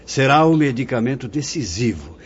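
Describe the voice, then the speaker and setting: A man's voice: mid-range voice, sing-song, moderate pace, breathy edge. A Brazilian man playing a wise, fatherly spirit director in a radio drama, speaking in a solemn, formal, preaching way. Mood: solemn, instructive. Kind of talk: Portuguese-language radio drama acting. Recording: dull lo-fi radio drama audio.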